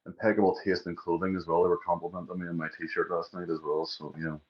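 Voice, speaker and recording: Deep man, muffled recording. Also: flat delivery, quick pace. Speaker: music podcast co-host. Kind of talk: casual chat. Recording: dull, muffled lo-fi sound.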